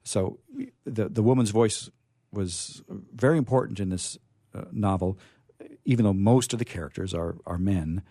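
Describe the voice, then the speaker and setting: Low, bright-voiced man hosting, halting delivery. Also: no room sound, clean studio sound. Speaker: host. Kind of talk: casual literary discussion.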